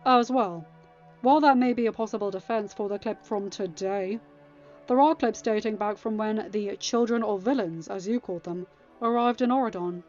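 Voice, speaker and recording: A woman's voice: medium pitch, moderate pace, a little mellow, lightly acted. A woman acting young male king, stern, formal, firm, story reading, slightly dull recording with music.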